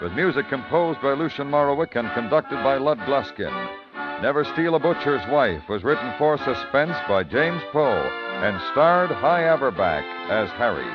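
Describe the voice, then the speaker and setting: A male announcer with a mid-pitched voice delivers scripted credits and promos in a formal, measured 1950s radio manner. Music plays clearly behind him, and the vintage recording is dull and lo-fi. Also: big pitch swings.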